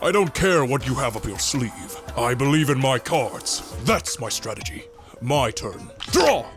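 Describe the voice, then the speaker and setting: Determined teenage boy hero, played by a man: highly animated, declamatory manga-dub duelling, deliberate pace over music. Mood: fired-up, determined. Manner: dramatic, declamatory shouts. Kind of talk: manga fan-dub acting.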